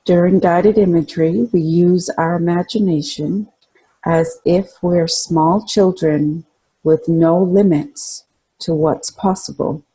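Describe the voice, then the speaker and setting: A woman narrates a guided relaxation meditation at a very unhurried pace. Her voice is soft-toned and mellow, with a husky, breathy texture. She gives calm, soothing instructions and leaves space between phrases. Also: lowish voice, poor audio.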